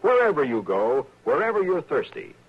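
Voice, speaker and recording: A man's voice: fairly high pitch, very animated, moderate pace, muffled recording. A man delivering a breezy, persuasive 1950s radio commercial. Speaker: radio ad announcer. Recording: husky old radio recording.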